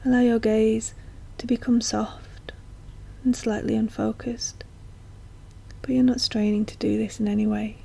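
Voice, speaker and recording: A woman guiding a meditation in a soft, breathy, often near-whispered voice, speaking gently and slowly with long, soothing pauses. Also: on the high side, flat delivery. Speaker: guided meditation teacher. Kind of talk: slow guided meditation narration.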